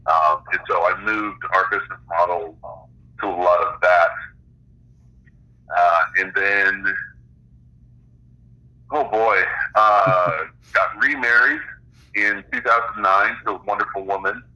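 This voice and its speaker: A middle-aged man chatting casually as an interview guest about his creative work, in a halting way with searching pauses. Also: sits low, fairly even intonation, sharp tone, dull audio. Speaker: interview guest, author and game designer.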